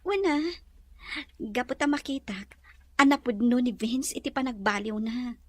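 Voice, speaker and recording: Woman acting high-voiced, sincere sweetheart. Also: unhurried, dry recording. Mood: grateful, tender. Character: sincere sweetheart and lady of the house. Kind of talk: Ilocano audio drama acting.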